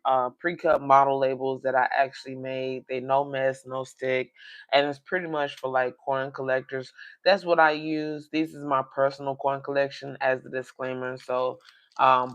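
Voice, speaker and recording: Man, mid-pitched, a touch raspy today, flat monotone delivery, rambling livestream host talking straight to viewers, dull lo-fi audio. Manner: rambling, talks directly to viewers. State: slightly raspy voice. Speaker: coin collecting livestream host. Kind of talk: casual livestream chat.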